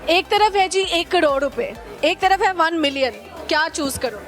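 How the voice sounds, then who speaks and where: A woman's voice: very high voice, normal speed. A woman with a Pakistani accent hosting a casual street interview, playfully teasing and echoing answers back as questions. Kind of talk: casual, bantering vox-pop interview. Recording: music and crowd chatter behind the voice.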